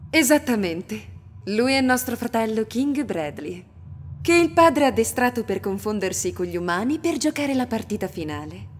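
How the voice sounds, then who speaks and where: A woman's voice: on the high side, expressive, moderate pace, natural voice. A woman voicing a cool seductive homunculus. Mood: poised. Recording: roomy reverb with faint noise.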